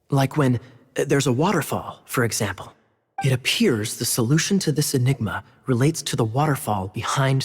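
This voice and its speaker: A man playing a suave, sly young knight in a video game, speaking in a smooth, wry, teasing and theatrical manner. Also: medium pitch, natural voice. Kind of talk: video game character voice acting. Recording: faint game music behind.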